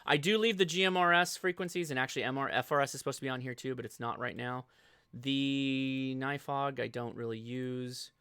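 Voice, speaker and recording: An American man hosting a live show, giving a casual, informal software walkthrough and thinking aloud as he goes. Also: mid-range voice, smooth, no echo, studio quality. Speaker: host of a live ham radio show.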